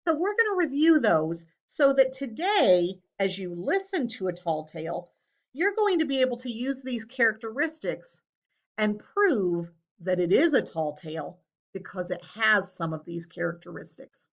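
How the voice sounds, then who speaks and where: A woman speaking as an elementary teacher, explaining a lesson to children in a deliberate way and stressing key words. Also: medium pitch, big pitch swings.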